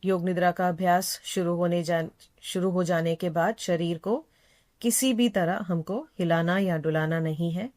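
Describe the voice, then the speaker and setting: A woman yoga instructor leading a guided meditation in a calm, unhurried, soothing manner, repeating phrases gently. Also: fairly low pitch.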